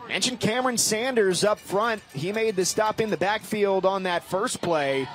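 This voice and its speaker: A man's voice: high voice, moderate pace. A man calling live sports play-by-play in clipped, vivid phrases. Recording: noisy live game broadcast.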